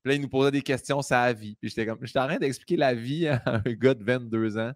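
A man in his late thirties with a Québécois accent, hosting a relaxed podcast chat with lots of fillers. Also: medium pitch, quick pace, no room sound, clean studio sound. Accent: Québécois French. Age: 30s. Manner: relaxed, chatty, with frequent fillers. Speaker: podcast host. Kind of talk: casual conversation.

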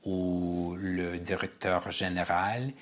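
A man from Armenia speaks in a very low voice during an interview. He answers earnestly, often pausing to search for the right word. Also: flat delivery. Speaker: playwright and director, guest. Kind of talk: interview conversation.

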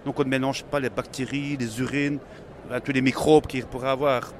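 A man's voice: medium pitch, flat delivery, moderate pace, slight background noise. A man from Belgium with a Belgian French accent, a pork company sales manager, explaining his farm in detail at a trade fair interview. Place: busy trade fair hall.

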